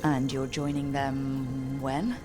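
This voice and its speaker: An actress playing a curious, plain-spoken adventurer heroine in a fantasy video game, direct and conversational. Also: female voice, very deep voice, level tone, slow pace. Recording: game music playing behind.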